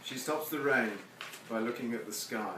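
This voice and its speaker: A man's voice: mid-range voice, normal speed. A man giving a live poetry reading with informal introductions, speaking with wry, self-deprecating humour. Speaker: poet reading own poems. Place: live reading venue with seated audience. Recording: echoey, noisy room from far off.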